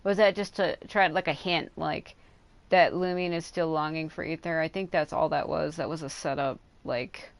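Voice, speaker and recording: A woman's voice: sits low, fairly even intonation, moderate pace, vocal fry, dry recording. A woman doing casual, informal live commentary on a game, musing aloud as she goes. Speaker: game livestreamer.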